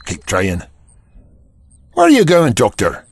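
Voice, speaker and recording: A man's voice: mid-range voice, wildly swooping pitch, slow pace, creaky, slightly rough, no echo, full character voice. An actor playing a stubborn, emotional male Prime Minister in an audio drama. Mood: grim, resolute.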